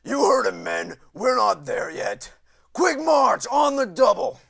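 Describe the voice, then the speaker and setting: A man voicing a gruff, zealous pony army commander in a storybook reading, rough and strained, barking in a commanding military manner. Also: extremely high pitch, very animated, slow, fairly bright tone, pitched above the performer's own voice, full character voice. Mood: barking orders. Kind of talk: audiobook character voice, military storybook.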